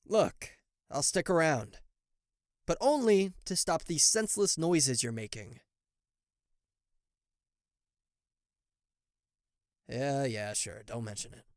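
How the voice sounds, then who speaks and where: A man performs a sarcastic, cocky devil for a roleplay audio. His pitch swings widely as he teases and blusters theatrically, tripping into stammers. The recording is dry, with no room sound. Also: expressive, measured pace, no echo. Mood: grudging, resigned. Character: cocky, sarcastic male devil, a fallen angel, secretly fond. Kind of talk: roleplay audio acting addressed to the listener.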